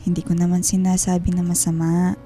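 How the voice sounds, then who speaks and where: A woman's voice: lowish voice, monotone, a little fast, dark tone, close to an everyday voice. Homesick working mother played by a woman, radio drama, guarded and worried, music underneath. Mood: guarded, suspicious. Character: worried, homesick wife and mother working abroad, quietly suspicious. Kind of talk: Filipino radio drama acting. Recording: music behind the voice.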